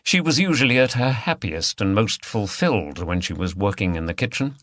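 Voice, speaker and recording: Male audiobook narrator reading a novel, bright crisp forceful tone, really dry close sound. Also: lowish voice, expressive, normal speed, no echo. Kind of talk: scripted third-person story narration.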